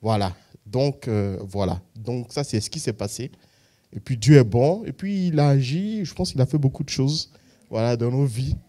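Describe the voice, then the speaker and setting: A man's voice: medium pitch, animated, normal speed, warm tone. A man giving a spontaneous testimony in a church service, hesitant and full of fillers. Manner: hesitant, many fillers and restarts. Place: church hall.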